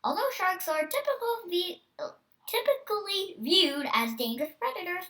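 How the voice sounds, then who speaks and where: Nine-year-old kid, lively intonation, reading aloud, halting on hard words, a little distant from the mic. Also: very high-pitched. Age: child, nine years old. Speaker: host reading a book aloud. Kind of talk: read-aloud with casual asides.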